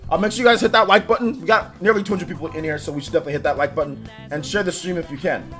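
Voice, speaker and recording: A man hosting a casual livestream, rattling off upbeat shout-outs to viewers, with a slightly stuffy nose. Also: fairly high pitch, soft background music. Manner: upbeat, rapid shout-outs, laughs often. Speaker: livestream host. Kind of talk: casual livestream chat, reading out viewer names.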